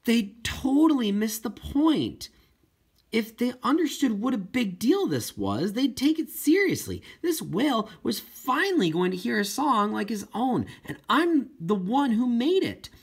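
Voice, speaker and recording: A man reading a first-person children's novel as an expressive audiobook narrator. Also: extremely high pitch, measured pace, gravelly, slightly rough. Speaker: audiobook reader.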